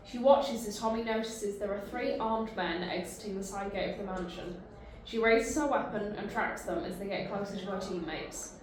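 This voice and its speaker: A woman's voice: medium pitch, heavy reverb, a little hiss or hum, poor audio. A woman reading narration and stage directions at a table read.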